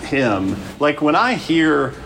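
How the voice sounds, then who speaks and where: A man leading a church group discussion in a casual, halting way, with many restarts. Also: a little high, sing-song, gravelly, background noise.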